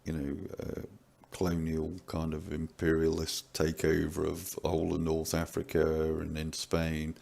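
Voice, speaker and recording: A man's voice: extremely low pitch, completely flat delivery, moderate pace, gravelly, hardly any pauses, a little mellow. A man giving casual panel commentary with dry, sarcastic humour. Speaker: commentator on discussion show.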